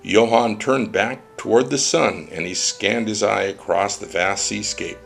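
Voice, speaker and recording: A man with a gravelly voice narrating a scripted story as a storybook reader. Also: lowish voice, unhurried, soft background music.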